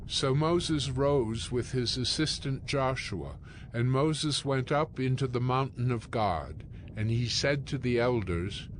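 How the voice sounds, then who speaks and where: A man narrating scripture from a script in a solemn, measured manner. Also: medium pitch, slight background noise.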